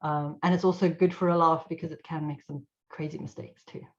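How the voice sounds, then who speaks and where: Middle-aged woman, lively, slightly muffled. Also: low-pitched, a little fast. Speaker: IT consultant and AI guest speaker. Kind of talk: casual webinar talk. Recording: slightly dull lo-fi audio.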